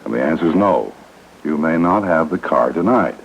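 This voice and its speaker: A man's voice: extremely low pitch, animated, normal speed, creaky, full character voice. A man playing a stern, unbending middle-aged stepfather who speaks formally, in an old radio drama. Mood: firm. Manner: formal, clipped, authoritative. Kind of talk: 1960s radio drama acting. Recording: dull, noisy vintage radio.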